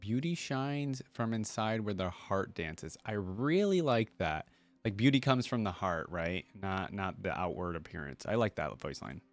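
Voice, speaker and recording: A man's voice: on the low side, animated, measured pace. A man hosting a casual reaction video, enthusiastically commenting and musing aloud on what he hears. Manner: enthusiastic, repeats lines back and muses on them.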